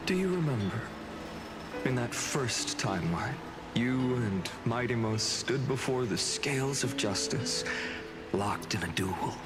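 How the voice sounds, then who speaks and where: An actor voicing a solemn, gentle and resolute male hero in a video game, with measured, earnest delivery and dramatic pauses. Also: mid-range voice, expressive, natural voice. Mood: earnest resolve. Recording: game audio under loud music.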